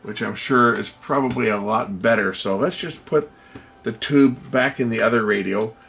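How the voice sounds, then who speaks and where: A man's voice: medium pitch, normal speed, vocal fry, a bit bright, a little hiss or hum. A man giving casual, hesitant commentary, thinking aloud as he works hands-on at a repair job. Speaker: host of a radio repair video. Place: indoor electronics workbench.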